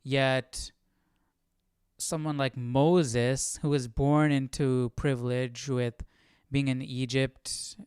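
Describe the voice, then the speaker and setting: A man's voice: mid-range voice, little pitch movement, takes it slowly, darkish tone, smooth, no echo, studio quality. A man hosting a live Bible study stream, thinking aloud in casual, reflective commentary and checking in with listeners. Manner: thinking aloud, often asking "right?".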